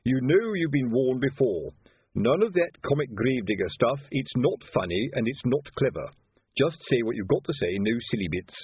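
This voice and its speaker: A man voicing a weary, gruff middle-aged male watch sergeant. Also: medium pitch, very animated, moderate pace, no room sound, phone-quality sound, pitched above the performer's own voice. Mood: weary scolding.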